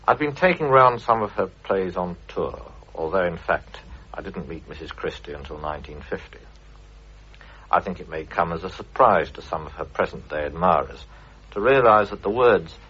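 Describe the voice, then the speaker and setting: A very low, rough-edged male voice with a British accent. He delivers a prepared radio reminiscence in an urbane, anecdotal manner, heard through a muffled old recording. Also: a bit bright. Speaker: guest contributor, theatre producer.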